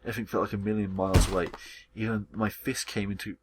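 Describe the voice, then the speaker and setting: A man's voice: on the low side, steady tone, quick pace, noisy background, close to an everyday voice. A man playing an anguished, hot-tempered male visual novel protagonist who tells his own story dramatically. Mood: grim, intense. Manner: dramatic first-person storytelling. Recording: music behind the voice.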